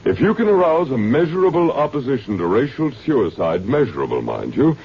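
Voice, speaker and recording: Courteous alien overseer, wide-swinging pitch. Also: male voice, sing-song, a little hiss or hum. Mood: cool, measured. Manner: formal, measured, precise. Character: courteous, superior male alien overseer, coolly amused. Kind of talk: mid-century radio drama acting. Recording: dull vintage radio recording with music.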